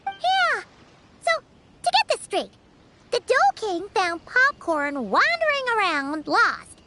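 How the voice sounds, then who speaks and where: A woman's voice: very high-pitched, wildly swooping pitch, slow pace, very noisy, heavily acted. A woman voicing a small, cheerful fairy companion in a video game, chirpy and childlike. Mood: curious, chatty. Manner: chirpy, third-person self-reference. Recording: music playing behind the voice. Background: loud children's game music.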